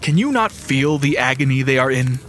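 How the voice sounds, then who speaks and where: A man's voice: mid-range voice, expressive, moderate pace, fairly bright tone, slight background noise, lightly acted. A man playing a stern, righteous warden in a fantasy audio drama, speaking in a solemn, formal, commanding way. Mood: reproachful. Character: stern, righteous male warden, loyal to his god.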